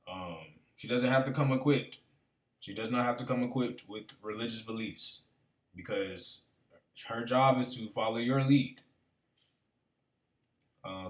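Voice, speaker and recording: A man's voice: medium pitch, a bit bright. A man hosting a reaction video, talking casually and thinking aloud with plenty of fillers. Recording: echoey room, mic far off.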